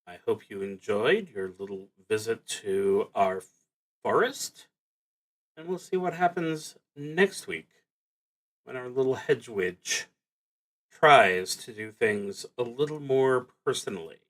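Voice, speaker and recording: A man hosting a solo tabletop roleplaying stream, talking casually and haltingly as he thinks aloud, with long pauses. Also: medium pitch, a bit bright.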